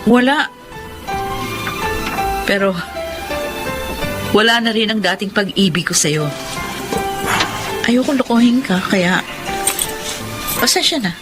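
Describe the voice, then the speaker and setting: A woman playing a gentle, steadfast single professional in a Filipino radio drama, speaking earnestly and in measured phrases. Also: mid-range voice.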